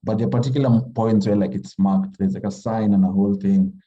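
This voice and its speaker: A man from Kenya, a writer talking casually as a podcast guest in a halting, thoughtful way full of fillers. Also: on the low side, fairly even intonation, dark tone, dull audio. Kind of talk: casual conversation.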